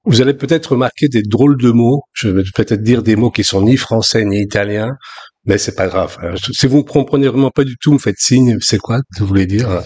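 A man from France in his sixties, preaching a sermon in a relaxed, conversational way with frequent restarts. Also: medium pitch, a little fast, husky. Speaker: pastor.

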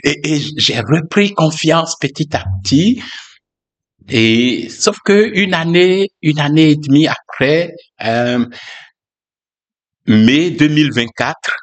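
A man, a pastor, sharing a personal testimony in a reflective, hesitant way with frequent pauses and fillers. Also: fairly high pitch, animated.

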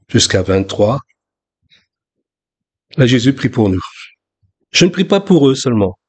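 A man from France in his sixties, preaching a sermon in a relaxed, conversational way with frequent restarts. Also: mid-range voice, slightly rough. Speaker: pastor.

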